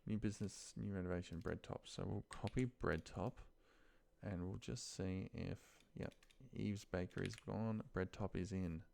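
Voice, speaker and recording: A man's voice: sits low, steady tone, normal speed, creaky, a little mellow, slight background noise. A man giving a casual screen-share walkthrough, thinking aloud and muttering to himself in short phrases. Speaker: office trainer showing a data task. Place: indoors at a computer, screen recording.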